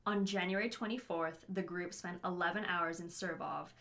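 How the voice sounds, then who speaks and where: A woman's voice: on the low side, steady tone, moderate pace, fairly bright tone. A woman co-hosting a true-crime podcast, narrating in a chatty way and talking straight to listeners. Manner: chatty, addresses listeners as you guys. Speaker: podcast co-host.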